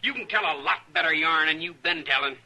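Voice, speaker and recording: An actor playing a bitter young man bent on avenging his father, with a rough-edged rural Western drawl, in an old-time radio western. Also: fairly high pitch, fast talker, heavily acted. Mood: taunting, challenging. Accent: American rural Western drawl. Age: young adult. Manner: folksy, insistent, accusing. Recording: bright, lo-fi old radio broadcast.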